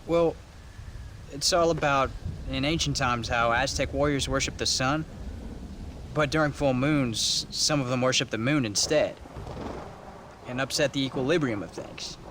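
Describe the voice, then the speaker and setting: An actor playing a bookish American teenage son on the frontier, dry and teasingly sarcastic. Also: male voice, medium pitch, a little slow, a bit bright, slight background noise, close to an everyday voice. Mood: earnest, hesitant. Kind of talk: video game cutscene acting.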